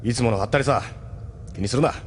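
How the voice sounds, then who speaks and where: A fast-talking, pragmatic ship captain , played by a male actor. He is blunt and commanding yet reassuring, in an audio drama style, with faint noise behind him. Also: mid-range voice, close to an everyday voice. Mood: firm, reassuring. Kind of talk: Japanese audio drama acting.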